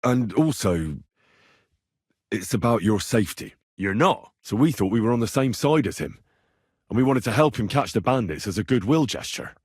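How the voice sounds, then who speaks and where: A man's voice: on the low side, big pitch swings, normal speed, darkish tone, husky, dry recording, clean studio sound, heavily acted. A man playing a stubborn, earnest young man in a video game, speaking plainly and probingly as he investigates. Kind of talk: video game character dialogue.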